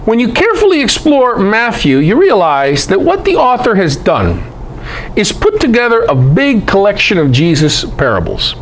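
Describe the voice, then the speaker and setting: A man's voice: high voice, wildly swooping pitch, normal speed, a little hiss or hum. A man giving a conversational Bible lecture to a group, emphatic, posing rhetorical questions.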